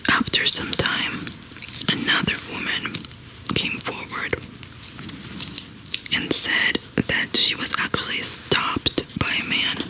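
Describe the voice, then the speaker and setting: A woman in her thirties who hosts ASMR videos, softly whispering a true crime story while she crochets, close to a studio mic. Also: poor audio. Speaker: ASMR host. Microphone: large studio condenser mic close to her face.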